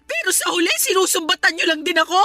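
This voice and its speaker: High, bright-voiced wounded niece. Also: female voice, very animated, fast, dry recording, soft background music, full character voice. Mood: hurt, defiant. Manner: aggrieved, pleading yet firm. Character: wounded, resentful young married niece standing her ground. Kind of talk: Tagalog radio drama acting.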